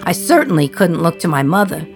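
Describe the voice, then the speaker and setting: A woman playing an imprisoned communist young mother in a radio play monologue, wry, confiding and reflective, with dramatic pauses. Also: on the low side, lively intonation, vocal fry, over music. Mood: wry, tender. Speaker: actor in a solo radio play.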